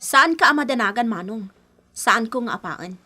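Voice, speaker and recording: A young woman with a very high, animated voice. She is upset and indignant, exclaiming and pleading with a Filipino Ilocano accent in radio drama style. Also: normal speed. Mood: hurt, upset.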